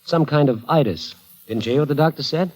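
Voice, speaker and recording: An actor playing a loyal, protective friend in a 1940s radio drama, earnest and reassuring. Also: male voice, fairly high pitch, a little fast, darkish tone, natural voice, rough recording. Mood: evasive, soothing. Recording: vintage radio broadcast with music behind.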